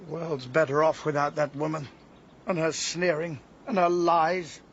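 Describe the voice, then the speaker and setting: An actor playing an aggrieved, vengeful middle-aged gardener and scoutmaster in a radio drama, with a wounded manner. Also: male voice, a little high, level tone. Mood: bitter, wounded. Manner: wounded, bitter, deliberate. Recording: dull sound, faint background noise.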